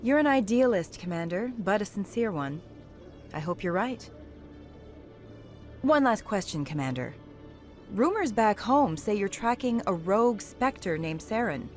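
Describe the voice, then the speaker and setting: An actress playing a skeptical TV news reporter in a science fiction game, pressing with pointed interview questions. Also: female voice, medium pitch, lively intonation, unhurried, pauses often, soft background music, lightly acted.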